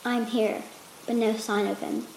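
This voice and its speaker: A woman's voice: fairly high pitch, flat delivery, a little slow, creaky, a little mellow. A woman acting a bossy spy directing her partner in an amateur radio drama. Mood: commanding. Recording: echoey, noisy amateur recording.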